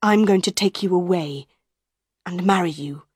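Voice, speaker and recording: A woman's voice: lowish voice, moderate pace, a little mellow, slightly rough, dry recording, clean studio sound. A woman voicing a young man, an earnest and protective lover who speaks firmly and insistently. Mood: urgent. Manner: firm, insistent.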